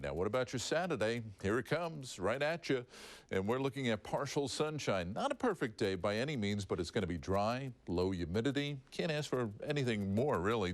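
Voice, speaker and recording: A man's voice: mid-range voice, dry recording. A man with an American accent presenting a conversational live TV weather forecast.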